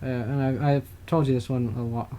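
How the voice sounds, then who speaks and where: Podcast co-host, male, mid-pitched, rambling and hesitant, faint noise under him. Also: little pitch movement, moderate pace, dark tone. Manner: rambling, hesitant, frequent "uh" and restarts. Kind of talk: casual political chat.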